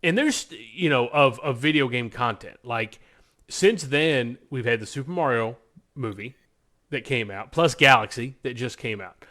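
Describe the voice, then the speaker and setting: A male podcast co-host talks in a loose, conversational way with a mid-pitched voice. He is enthusiastic and emphatic, stressing his points as he chats. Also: moderate pace, pushed and bright. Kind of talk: casual podcast chat about movies.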